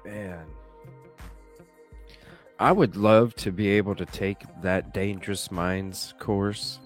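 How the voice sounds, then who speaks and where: Stuffy-nosed low-voiced male streamer. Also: takes it slowly, faint music behind. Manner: chatty, thinks aloud, swears casually. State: stuffy nose. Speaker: live streamer. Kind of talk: casual chat reacting to a video. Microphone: desk microphone with webcam.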